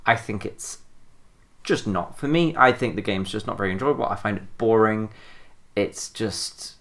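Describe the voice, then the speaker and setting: A podcast co-host talks casually about video games. The delivery is conversational and rambling, with halting starts and plenty of "like" along the way. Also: medium pitch, fairly bright tone.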